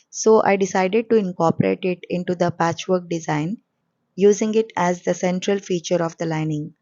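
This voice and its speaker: A woman hosting a sewing channel, explaining each step calmly in a voice-over, her voice coarse and hoarse from a cold. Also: on the low side, no echo, lo-fi sound.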